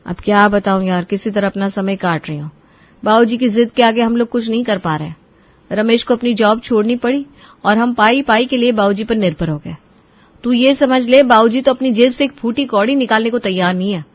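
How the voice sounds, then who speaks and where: A woman's voice: fairly high pitch, brisk, lo-fi sound, close to an everyday voice. A woman playing a clever, burdened young daughter-in-law who speaks expressively in a cartoon moral story. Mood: plaintive.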